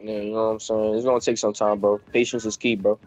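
A man's voice: on the low side, level tone, quick pace, darkish tone, soft background music. A man in his twenties chatting with casual, slangy banter over gaming voice chat. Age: 20s. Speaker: gamer. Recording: slightly noisy game voice chat.

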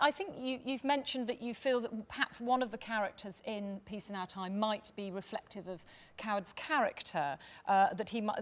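Woman chairing a panel, hesitant phrasing. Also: medium pitch. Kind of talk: moderating a panel discussion. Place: stage panel before dark curtains, indoors.

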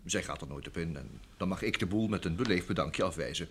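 An actor playing an affable, evasive showbusiness manager in an old Dutch radio play, chatty and hesitant. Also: male voice, low-pitched, expressive, fast, a little hiss or hum. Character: evasive, affable manager of a film star, grown man.

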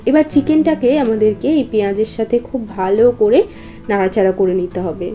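A woman's voice: medium pitch, lively intonation, a little fast, dark tone. A woman narrating a recipe step by step as a cooking host, in an instructional, explanatory way. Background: guitar background music.

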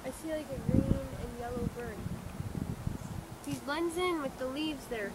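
A woman chatting playfully on a walk through an outdoor park, recorded on a handheld camera, often speaking in a hushed voice. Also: sits high, measured pace, heavy background noise. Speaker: family member on a walk. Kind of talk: casual chat.

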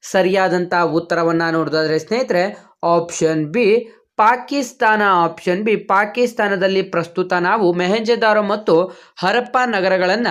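A teacher hosts an online exam-prep lesson in a medium-pitched voice. The delivery is instructional and addressed straight to viewers. Key phrases get repeated in the manner of someone coaching students through a quiz. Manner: repeats questions and answers, instructional.

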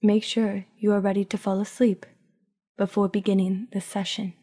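Female meditation guide: dark, relaxed tone, very even pitch, soothing and hushed, leaving long pauses. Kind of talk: guided sleep meditation, scripted narration.

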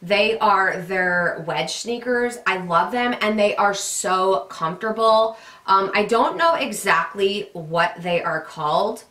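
A woman vlogger in her thirties talks brightly and chattily, tossing in plenty of fillers as she gushes. She sounds slightly stuffed up and nasal. Her voice rings in a roomy, echoing home studio. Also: medium pitch, slow. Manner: chatty, gushing, many fillers. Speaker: beauty vlogger. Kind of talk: casual product recommendations to camera. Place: pink bedroom studio with vanity mirror and lit tree.

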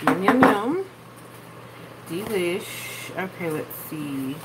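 A woman's voice: on the low side, sing-song, drawn-out words. Chatty young woman cooking on a live stream. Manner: chatty, reads viewer comments and thanks them. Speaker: cooking channel host. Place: home kitchen. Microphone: phone camera held over the stove. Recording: phone audio with background noise.

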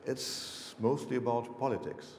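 A man's voice: mid-range voice, measured pace, darkish tone, slight background noise. Hesitant German-accented man, roomy hall. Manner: hesitant, many fillers and restarts. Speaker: author. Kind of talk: audience Q&A answers. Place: lecture hall, seated on stage. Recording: roomy lecture hall echo.

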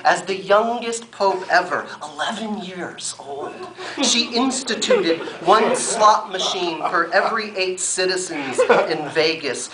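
A middle-aged man giving a live poetry reading with wry, deliberate phrasing, recorded in a room by a camera a few metres away. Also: high voice, lively intonation, a crowd in the background, low-quality recording. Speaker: poet reading at an event. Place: wood-furnished library room, at a lectern.

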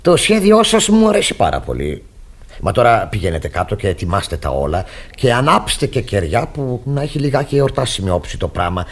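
Radio drama: naive, pompous pastor, lowish husky voice, sermonizing and easily flustered. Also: male voice, wildly swooping pitch, exaggerated voice. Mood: effusive. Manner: effusive, sermonizing, stammering when flustered. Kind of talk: radio theatre acting.

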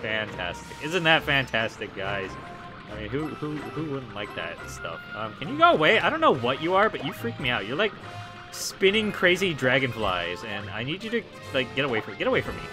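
Hoarse young male let's player, animated and silly, game music in the mix. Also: mid-range voice, moderate pace. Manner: goofy, excitable, lots of ums and likes. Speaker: let's play gamer. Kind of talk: casual gameplay commentary.

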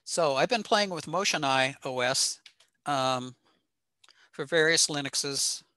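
A man's voice: fairly high pitch, slow, pushed and bright, no echo, clean studio sound. A man presenting technical material informally with slides over a video call, in a plain-spoken, matter-of-fact manner. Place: indoor home room, over a video call.

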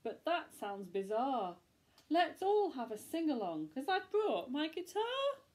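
Storybook casting: a very high, bubbly, kind pumpkin guy, read by a woman, chirpy and lively. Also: a little slow, exaggerated voice. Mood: apologetic. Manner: chirpy, squealing with delight. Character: cheerful friendly male pumpkin, bouncy and kind.

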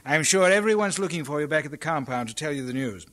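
Lively, teasing, kindly friend. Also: male voice, on the high side, fast. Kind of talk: dramatised radio-play acting.

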